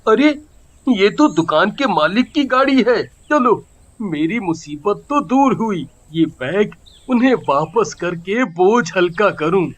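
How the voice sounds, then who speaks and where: A man plays a poor, honest father from a cartoon moral tale. His voice sits high for a man and moves at an unhurried pace, earnest and pleading, with humbly repeated words. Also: lively intonation, exaggerated voice. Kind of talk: Hindi moral story cartoon acting.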